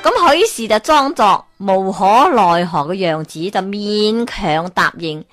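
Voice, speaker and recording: A woman storyteller with a Hong Kong Cantonese accent spins an old folk tale at a middle pitch. She talks in a lively way and keeps turning to the listener with questions. Soft music plays quietly behind her. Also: unhurried.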